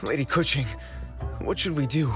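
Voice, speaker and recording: A man's voice: fairly high pitch, moderate pace, music in the background, natural voice. An actor playing a flustered, earnest and deferential male official in a video game cutscene. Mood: anxious. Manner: earnest, fretful, explanatory.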